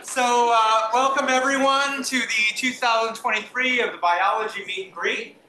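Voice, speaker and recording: A man opening an event with halting, joking remarks full of fillers. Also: very high-pitched, steady tone, crowd noise. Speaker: event organizer. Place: lecture room. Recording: roomy with faint noise.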